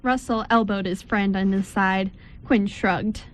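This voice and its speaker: A woman's voice: medium pitch, fairly even intonation, a little slow. A woman reading scripted story narration aloud as narrator, occasionally stumbling over the words.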